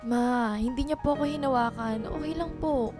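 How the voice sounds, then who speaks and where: High-voiced devoted young Filipina daughter acted by a woman. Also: normal speed, natural voice. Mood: soothing. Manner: polite, calming, earnest. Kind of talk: Tagalog radio drama acting. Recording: music clearly under the voice.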